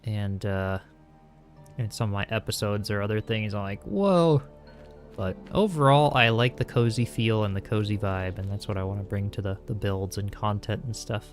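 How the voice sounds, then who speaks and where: A young man streaming a building game, talking calmly and softly while reading chat aloud, with frequent small chuckles. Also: lowish voice, normal speed. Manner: soft-spoken, calm, chuckles often, reads chat aloud. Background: faint game music.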